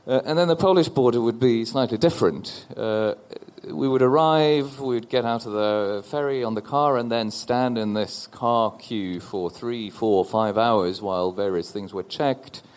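A man in his forties from Norway, a panel guest telling a personal story in a thoughtful, halting way on stage before an audience. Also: medium pitch, darkish tone. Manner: thoughtful, halting with repeated restarts.

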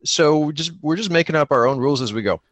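A man's voice: on the high side, fast, dry recording. A man chatting casually as a podcast guest, with a joking, mock-serious manner. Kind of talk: casual chat.

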